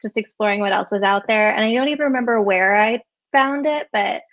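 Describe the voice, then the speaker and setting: A woman's voice: medium pitch, fairly quick, no room sound. A woman around thirty casually introducing herself over a video call, with lots of "like" and "um.". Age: 30s. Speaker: design graduate under review. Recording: dull dry call audio.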